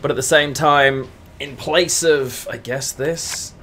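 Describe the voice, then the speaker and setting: A man's voice: mid-range voice, fairly even intonation, slow, sharp tone. A man from England streaming a card game, thinking aloud between moves and answering chat casually with lots of murmured fillers. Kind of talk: casual live-stream chat while playing.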